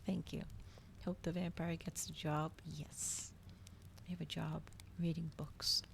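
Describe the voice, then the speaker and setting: A woman doing a soft, hushed ASMR bedtime reading on a live stream, often slipping into whispers. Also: low-pitched, level tone, measured pace, vocal fry, slight background noise. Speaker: streamer reading a book aloud.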